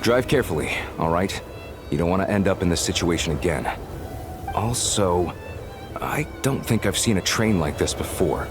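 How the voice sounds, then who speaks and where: A man's voice: low-pitched, animated, moderate pace, music in the background. An actor playing a courteous, chatty male railway official in a video game. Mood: friendly, advisory.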